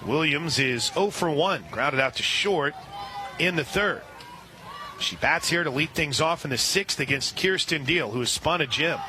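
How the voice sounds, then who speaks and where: A man doing live radio play-by-play of a softball game, relaxed and chatty, lifting his voice on big plays. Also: fairly high pitch, measured pace.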